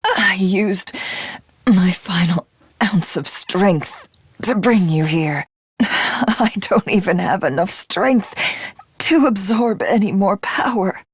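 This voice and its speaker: A woman playing a grandiose, battle-crazed villainess who thinks herself a god, in theatrical, imperious anime game voice acting with a breathy voice that slips toward whispers. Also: mid-range voice, animated, slow, dry recording. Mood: weak, wistful. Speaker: video game voice actress. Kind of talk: dramatic anime game character voice lines.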